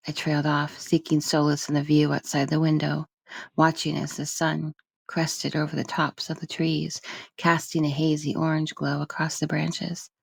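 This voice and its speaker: A woman reading literary first-person narration for an audiobook in a measured, expressive storytelling manner. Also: sits low, vocal fry, husky, dry recording. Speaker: audiobook reader.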